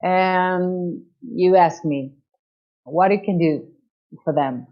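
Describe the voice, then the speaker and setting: A woman from Portugal speaking English with a Portuguese accent, slowly searching for words as she explains her chamber of commerce in an interview over a video call. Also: on the low side. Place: in Lisbon. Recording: dull lo-fi call audio.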